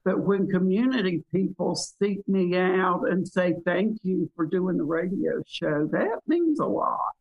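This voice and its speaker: Gravelly older woman with Southern drawl. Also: lowish voice, lively intonation, unhurried, hardly any pauses, muffled recording. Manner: warm drawl, enthusiastic, chatty. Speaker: guest, business mentoring chapter chair. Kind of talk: casual radio interview.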